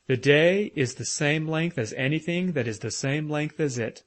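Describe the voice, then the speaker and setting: A man voicing a kindly, absent-minded old professor who turns fussy and excitable. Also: fairly high pitch, normal speed, close to an everyday voice. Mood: puzzled.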